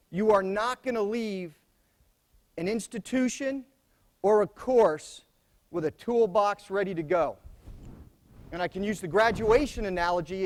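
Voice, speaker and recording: A middle-aged American man delivers opening remarks to a roomful of students in a soft voice that sits fairly high for a man. He talks plainly and repeats key phrases to drive them home. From United States. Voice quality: soft-spoken. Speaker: commandant giving opening remarks. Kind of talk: conference speech to students.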